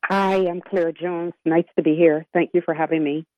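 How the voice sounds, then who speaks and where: A woman in her sixties from Barbados, a guest talking in an interview, often halting and restarting her sentences. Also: lowish voice, fairly even intonation. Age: 60s. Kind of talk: interview conversation. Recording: tinny phone line.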